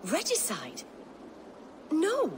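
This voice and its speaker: A woman's voice: high-pitched, huge pitch swings, extremely slow, a little mellow, slight background noise, heavily acted. A woman playing a devout, defensive medieval noblewoman in a video game, speaking formally with fervour. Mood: fervent. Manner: indignant, fervent, formal. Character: pious, defensive noblewoman, fervently devoted to her brother. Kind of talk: dramatic video game dialogue.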